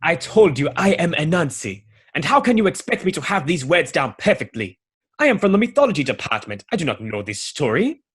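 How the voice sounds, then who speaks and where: An actor playing a big, scary, proud spider god in a comic radio play, husky and formal in manner. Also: mid-range voice, big pitch swings, a little fast, a bit bright. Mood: indignant. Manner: formal, haughty.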